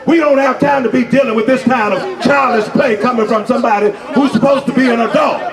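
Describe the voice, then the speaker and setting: A man's voice: very high-pitched, fast talker, echoey room. A man emceeing a community meeting, introducing speakers and firmly calling the crowd to order. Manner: firm, calls for order over a crowd. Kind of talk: public meeting at an outdoor rally. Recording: distant mic with loud crowd.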